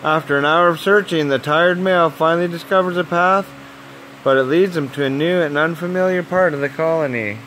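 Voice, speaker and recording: A man narrating a children's nature story aloud in simple, deliberate phrases, as if at bedtime. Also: fairly high pitch, a bit bright, slight background noise, poor audio.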